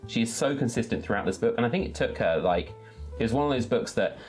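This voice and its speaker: A man's voice: mid-range voice, lively intonation, fast talker, darkish tone, soft background music. A man hosting an enthusiastic book talk, articulate and wordy, with emphatic phrasing. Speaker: book reviewer and readalong host.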